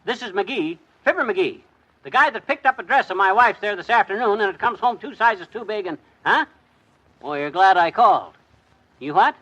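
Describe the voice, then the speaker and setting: An actor playing a blustery, boastful middle-aged husband in a 1950s radio sitcom. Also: male voice, sits high, animated, sharp tone, slightly rough, highs cut off, full character voice. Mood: flustered. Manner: blustering, quick to bluster at others.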